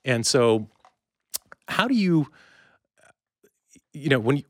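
A man hosting a casual interview podcast, speaking haltingly and rephrasing his thoughts and questions as he goes. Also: medium pitch, big pitch swings, no room sound, clean studio sound.

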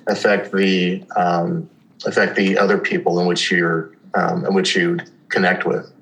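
A man hosting a podcast, asking halting interview questions full of fillers. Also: low-pitched, flat delivery, creaky, fairly bright tone, low-quality recording. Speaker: podcast host.

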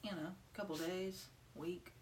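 A woman in her early thirties, chatting casually as a podcast co-host, playful and quick to laugh. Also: on the low side, steady tone, darkish tone. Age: 30s. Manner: laughs easily, reads trivia aloud, playful. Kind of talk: casual chat. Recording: distant, faint hiss.

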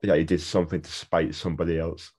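A man's voice: deep voice, level tone, quick pace, a little mellow, breathy edge. A man with a British accent hosting a casual podcast interview, chatty and enthusiastic. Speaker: podcast host. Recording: dull, lo-fi sound.

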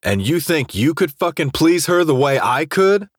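A man's voice: fairly high pitch, big pitch swings, moderate pace, no echo, clean studio sound, voice pushed up. Man acting out a furious drunk young athlete, raised voice. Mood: furious taunting. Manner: profane, aggressive, confrontational. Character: drunk, self-loathing, jealous young male student athlete.

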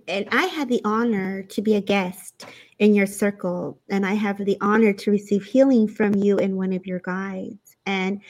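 A woman hosting a conversational interview, speaking in a gentle, openly appreciative way. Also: mid-range voice, rough recording. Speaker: host.

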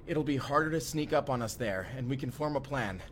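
A man's voice: medium pitch, lively intonation, fairly quick, a little hiss or hum, close to an everyday voice. An actor playing a desperate, foul-mouthed young man on the run in an audio drama. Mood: urgent, exasperated. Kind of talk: first-person immersive audio drama acting.

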